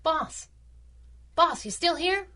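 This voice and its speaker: A woman's voice: extremely high pitch, animated, slow, music in the background, full character voice. A woman playing a brash, sarcastic, tough-talking masked heroine's sidekick in a 1930s-style pulp radio drama, slangy and sardonic. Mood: wry.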